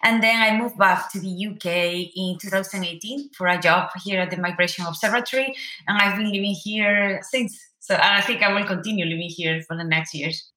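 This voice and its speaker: A woman's voice: medium pitch, fairly quick, bright, forceful tone, echoey room, poor audio. A woman from Spain, a researcher guest telling her story plainly and straightforwardly.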